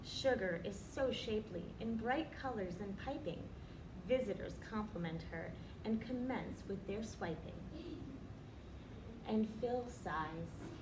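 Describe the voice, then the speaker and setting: A woman reading aloud as a children's storyteller, with expressive, deliberate picture-book phrasing. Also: mid-range voice. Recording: roomy, distant, noisy recording.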